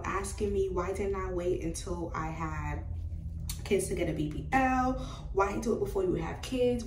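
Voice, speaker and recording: A woman's voice: medium pitch, a little hiss or hum. Chatty woman in her twenties, roomy sound. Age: 20s. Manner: chatty, animated, talks with her hands. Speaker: beauty vlogger. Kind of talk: casual talk to camera. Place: small room indoors by a doorway. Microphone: camera at a distance. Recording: roomy, slightly distant sound.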